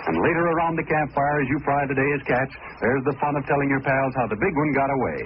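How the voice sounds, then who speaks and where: A man's voice: medium pitch, fast talker. An American man reading a scripted advertisement as an old-time radio announcer, with folksy, enthusiastic salesmanship. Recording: dull, noisy vintage radio recording.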